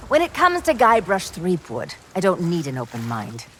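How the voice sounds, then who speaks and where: A woman's voice: lowish voice, huge pitch swings, normal speed, background noise. A woman playing a vengeful, hot-headed pirate in a video game cutscene, biting and sarcastic. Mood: vengeful, commanding. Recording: storm and sea ambience behind.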